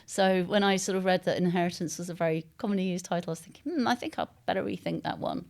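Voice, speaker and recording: A woman's voice: lowish voice, fast talker, gravelly, darkish tone. A woman from East London with an English accent, answering interview questions as an author in a discursive, hesitant, conversational way. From East London, England.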